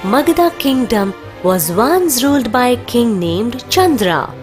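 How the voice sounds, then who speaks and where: A woman narrates children's stories from a script. Her voice is a little high and very melodic, delivered slowly with music clearly behind it. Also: animated.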